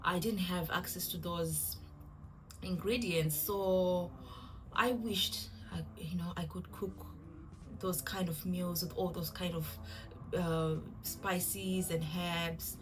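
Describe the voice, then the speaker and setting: A woman's voice: fairly low pitch, steady tone, slow, low-quality recording. A woman in her thirties with a Zimbabwean accent, chatting casually to camera as a cooking vlogger in a giggly, self-deprecating way. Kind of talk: casual introductory vlog talk to camera.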